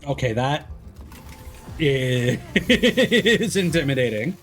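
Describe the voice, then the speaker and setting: A male streamer talks casually through a game, musing and a little critical. His pitch is average but moves around in a lively way, and faint game music plays behind him. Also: extremely slow. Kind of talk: casual live game commentary. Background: video game music.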